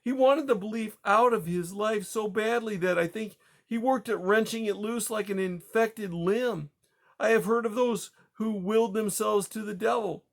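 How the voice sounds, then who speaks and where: A man's voice: high-pitched, moderate pace, vocal fry, pitched above the performer's own voice. A man voicing a grieving, distraught woman who stammers through her tears. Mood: anguished. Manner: breathless, stammering, rising to hysteria. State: crying.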